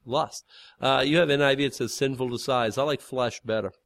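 Folksy preacher with a big voice. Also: male voice, medium pitch, fairly even intonation, a little fast, a bit bright, dry recording. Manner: folksy, rambling, with frequent fillers and restarts. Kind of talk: informal sermon.

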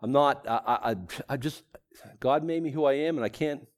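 A man's voice: medium pitch, a little fast. A middle-aged man with a Boston accent teaching a sermon in a rambling, self-deprecating way, full of restarts. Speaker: pastor. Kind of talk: conversational Bible teaching sermon.

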